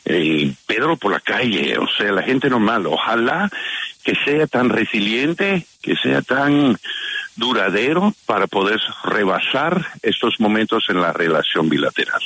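An older man from New York, a former diplomat, speaking Spanish with an American accent as a native English speaker, chatty and expressive with many fillers, answering radio interview questions. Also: on the low side, a little slow, breathy edge. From New York, USA.

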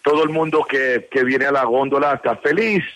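A Colombian man in his sixties, interviewed on the phone, talking with many pauses and fillers. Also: mid-range voice, fairly bright tone, no echo. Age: 60s. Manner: hesitant, many fillers and restarts.

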